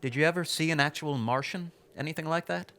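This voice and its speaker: An actor playing a courteous, probing documentary journalist in a radio drama, speaking in a polite, measured way. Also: male voice, a little high, a bit bright. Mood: gentle, courteous. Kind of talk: radio drama interview and scripted narration.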